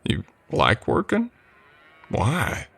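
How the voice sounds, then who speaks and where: A man playing a polite, easygoing drifter cowboy in an old west roleplay, soft-spoken, with folksy, slightly hesitant courtesy. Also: medium pitch, huge pitch swings, drawn-out words, vocal fry, slightly rough, exaggerated voice. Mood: thoughtful, curious. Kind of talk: ASMR roleplay acting, addressing the listener.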